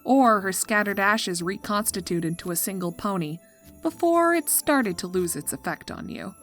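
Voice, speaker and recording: A woman's voice: medium pitch, lively intonation, normal speed, fairly bright tone. Dry female storyteller over light music. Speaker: narrator. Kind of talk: scripted dark comedy story narration.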